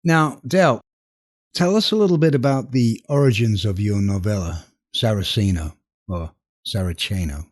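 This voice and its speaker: A man's voice: lowish voice, normal speed, no room sound. A man hosting a podcast, introducing and interviewing in a scripted style from a studio.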